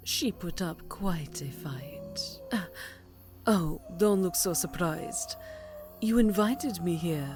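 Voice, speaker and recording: A woman voicing a smug, scheming vampire countess in a fantasy ASMR roleplay, drawling and taunting with deliberate phrasing. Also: on the low side, lively intonation, a little mellow, soft background music. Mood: taunting, menacing.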